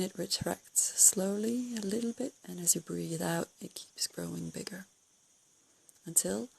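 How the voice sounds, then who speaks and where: A woman leading a guided meditation, giving calm, unscripted instructions in a soothing, breathy way with gentle pauses. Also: on the low side, poor audio. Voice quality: breathy, husky, creaky.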